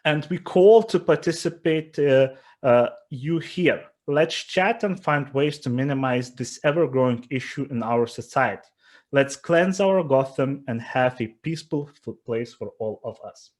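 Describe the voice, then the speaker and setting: A man with a Lithuanian accent giving an earnest startup pitch presentation, with frequent fillers and restarts. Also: unhurried.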